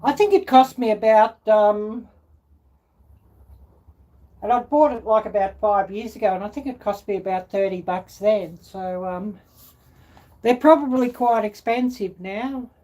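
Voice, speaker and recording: A middle-aged woman hosts a casual cooking live stream. She talks very slowly in a medium-pitched voice, rambling and pausing to find her words. The sound is picked up from a distance. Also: warm tone. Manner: halting, rambling, searching for words. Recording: distant mic, clear background noise.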